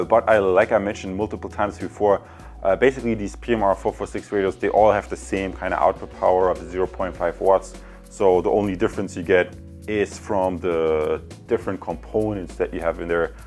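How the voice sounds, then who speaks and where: A man's voice: fairly low pitch, a little mellow. A man in his thirties giving a casual product review, explaining things in a hesitant, repetitive way.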